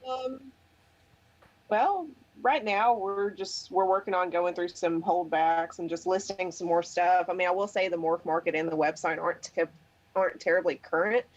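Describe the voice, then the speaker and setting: A woman's voice: fairly low pitch, steady tone, moderate pace, lo-fi sound. A woman from Russia with an American accent, chatting casually as a guest breeder. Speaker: guest, exotic animal breeder. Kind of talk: casual chat.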